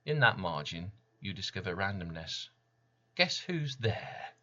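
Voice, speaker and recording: A man giving a dramatic reading of his own poem in solemn, deliberate short phrases, with slightly muffled sound. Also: low voice, very animated, sharp tone. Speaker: poet reading own work. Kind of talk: dramatic poetry recital.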